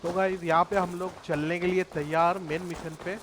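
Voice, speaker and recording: A man's voice: high-pitched, little pitch movement, racing, faint music behind. A man with an Indian accent giving casual gameplay commentary. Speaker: gaming YouTuber. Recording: loud game sound behind commentary.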